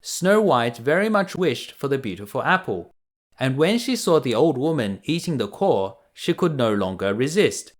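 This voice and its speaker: A man reading a fairy tale aloud to children as a bedtime storyteller, deliberate and expressive. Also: mid-range voice.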